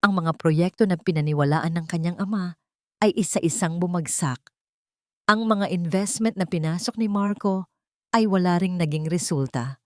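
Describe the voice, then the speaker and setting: Low-voiced woman narrating a drama. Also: normal speed, no room sound, studio quality. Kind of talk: scripted dramatic story narration.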